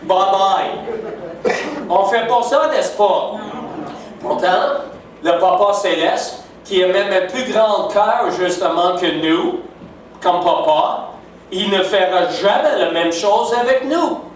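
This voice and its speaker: A man's voice: sits high, slow pace. Older anglo canadian guy preaching in french, keeps going "n'est-ce pas?", really echoey hall, faint hiss. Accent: English Canadian. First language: English. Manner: warm, emphatic, keeps asking "n'est-ce pas?". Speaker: guest preacher. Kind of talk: sermon. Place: church sanctuary. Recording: echoey church hall from far off.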